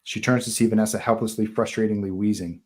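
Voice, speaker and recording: A man's voice: on the low side, fairly even intonation, quick pace, darkish tone. A man narrating stage directions at a live table read of a horror screenplay, in scripted narration style.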